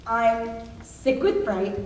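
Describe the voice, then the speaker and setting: A fretful, frightened woman newly behind bars, acted by a woman on stage, with a fairly high voice. She sounds distant from the mic in an echoey room. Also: sing-song, very slow, darkish tone, full character voice. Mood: anxious. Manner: anxious, fretful. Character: frightened, restless mother, new to prison. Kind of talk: live staged reading of a musical.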